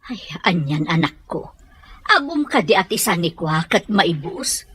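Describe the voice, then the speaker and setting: A woman's voice: lowish voice, sing-song, measured pace, fairly bright tone, husky, exaggerated voice. A woman with a Filipino Ilocano accent playing a worried, admonishing mother in an audio drama.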